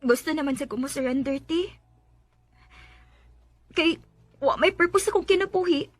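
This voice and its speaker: A woman's voice: high voice, moderate pace, no room sound, soft background music, natural voice. A woman acting in a Bisaya radio drama as a meek, despairing schoolgirl rejected by her family, pleading emotionally. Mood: resigned, sad.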